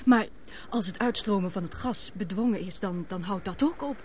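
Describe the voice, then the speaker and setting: A woman's voice: mid-range voice, moderate pace, close to an everyday voice. Actress as an earnest questioning young woman, noisy recording. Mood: doubtful, worried. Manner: hesitant, questioning, expressive. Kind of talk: radio drama acting.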